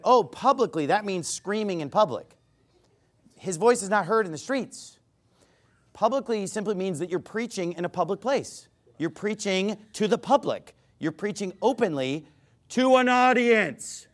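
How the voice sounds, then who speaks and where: Male American preacher, higher voice with big pitch swings, emphatic and argumentative, talking straight to the congregation. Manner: emphatic, repeats phrases for stress; folksy direct address to listeners. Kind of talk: sermon, conversational and argumentative.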